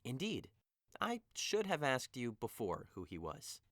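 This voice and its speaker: A melancholy young nobleman in love acted by a man in an audio drama. He is passionate and emotive, with a mid-range pitch that swings widely, recorded very dry. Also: huge pitch swings, a little slow, fairly bright tone, no room sound. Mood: polite, curious. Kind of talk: audio drama acting of modernized Shakespeare.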